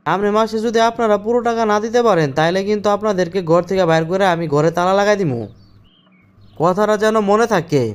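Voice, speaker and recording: Man playing a high-pitched, insistent cartoon landlord. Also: quick pace, smooth, lightly acted. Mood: firm, warning. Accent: Bangladeshi. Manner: firm, lecturing. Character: insistent, threatening male landlord demanding overdue rent. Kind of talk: cartoon voice acting.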